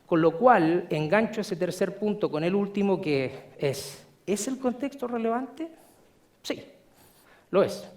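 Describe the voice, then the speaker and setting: A middle-aged man from Chile with a Chilean accent, giving a measured, explanatory conference presentation with frequent filler sounds. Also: high voice, lively intonation, darkish tone. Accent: Chilean Spanish. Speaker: conference speaker. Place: conference hall.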